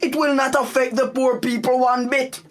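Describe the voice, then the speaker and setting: A man's voice: very high-pitched, flat delivery, normal speed, a little hiss or hum. A man with a Jamaican accent playing a cocky, dismissive government money minister in a radio drama, blustering and cajoling. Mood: breezy, confident.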